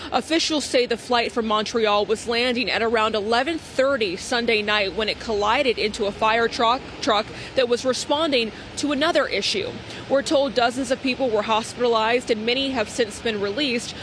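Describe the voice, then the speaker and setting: A woman's voice: on the high side, fairly bright tone. A woman news correspondent delivering a live report from outdoors in an urban setting. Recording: outdoor urban ambience, traffic noise.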